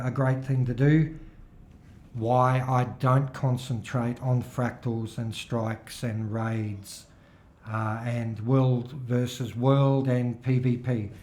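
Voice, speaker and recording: A man's voice: medium pitch, a little mellow, roomy sound, slight background noise. A middle-aged man gives rambling, casual commentary over his gameplay, his throat still a little worn. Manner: rambling, chatty, with filler-heavy restarts. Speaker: gaming video host.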